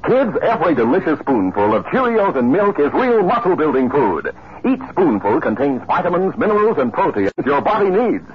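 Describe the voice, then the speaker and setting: A man's voice: fairly high pitch, big pitch swings, normal speed. A man with an American accent, narrating dramatically as a 1950s radio announcer. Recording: muffled 1950s radio recording. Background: music.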